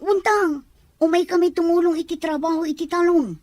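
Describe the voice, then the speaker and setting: A woman's voice: extremely high pitch, steady tone, a little fast, darkish tone, no room sound. A woman playing a young Filipino student daughter in a radio drama, pleading plaintively with an Ilocano accent. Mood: resigned.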